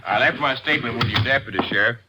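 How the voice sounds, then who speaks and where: An actor playing a courteous, guarded mine owner in an old-time radio drama, speaking politely and deliberately. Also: male voice, mid-range voice, background noise, lightly acted. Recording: tinny, narrow vintage radio recording.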